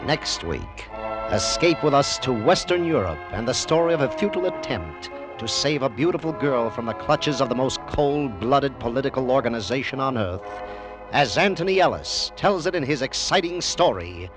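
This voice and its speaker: A man's voice: medium pitch, big pitch swings, moderate pace, rough recording. A man giving a dramatic scripted introduction as a 1940s radio drama announcer. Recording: old radio broadcast with music. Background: music.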